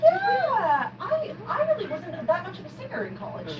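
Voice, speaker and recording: A young adult woman, a guest musician, talking in an animated, self-effacing way during a live interview. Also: very high voice, normal speed, very noisy. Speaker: guest musician, fiddler and singer. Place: live show studio. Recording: roomy, distant, dull sound with loud music.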